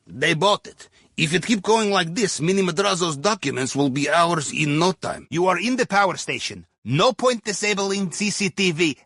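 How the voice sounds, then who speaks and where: An actor playing a jovial, shrewd submarine captain with a Russian accent, guiding a heist crew in droll, conversational video game dialogue. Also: male voice, high-pitched, sharp tone, slightly rough, no echo, studio quality, exaggerated voice. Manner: droll, conversational, dropping articles. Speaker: heist mission handler.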